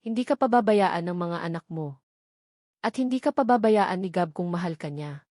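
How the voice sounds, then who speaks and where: A woman's voice: lowish voice, animated, normal speed, a little mellow, dry recording, studio quality, close to an everyday voice. A woman voicing a worried, supportive female friend in a story, earnest and urging. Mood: reassuring.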